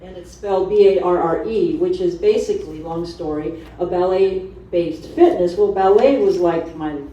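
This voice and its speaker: A middle-aged woman from Honduras giving an informal, animated personal talk to an audience as a fitness instructor. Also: fairly low pitch, moderate pace. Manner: animated, conversational storytelling with acted-out asides. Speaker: fitness instructor on a seminar panel. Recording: distant mic in echoey room, dull sound.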